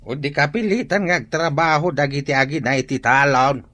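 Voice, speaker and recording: A man's voice: a little high, a little fast, pushed and bright, dry recording. A man playing a frank, chiding male relative in an Ilocano radio drama, with an Ilocano Filipino accent. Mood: disapproving.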